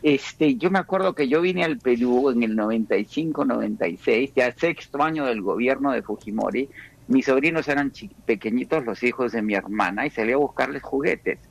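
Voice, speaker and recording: A man from Peru, speaking as an economist guest on a radio interview. He explains at a measured, careful pace, often restarting his sentences, and his voice sits in a middle pitch. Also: expressive, vocal fry, dull audio.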